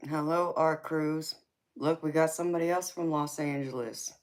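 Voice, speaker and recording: A woman's voice: low-pitched, steady tone, normal speed. A woman with a Southern accent hosting a live tarot stream, chatting casually with her viewers. She laughs often and calls people baby.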